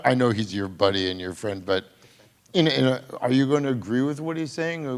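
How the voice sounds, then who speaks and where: Hesitant man moderating a panel. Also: medium pitch. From Brooklyn, USA. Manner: searching, hesitant questions.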